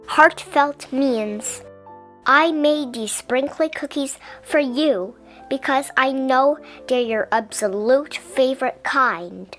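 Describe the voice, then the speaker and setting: A woman reading a children's picture book aloud in a gentle, deliberate, expressive way. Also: sits high, soft background music. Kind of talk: children's storybook read-aloud.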